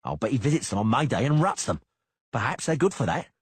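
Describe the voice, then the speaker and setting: A man's voice: mid-range voice, sing-song, fast, slightly rough, dry recording, pitched above the performer's own voice. A man voicing a crude, sneering beady-eyed mercenary in an audiobook. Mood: sneering mocking. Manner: mocking, crude.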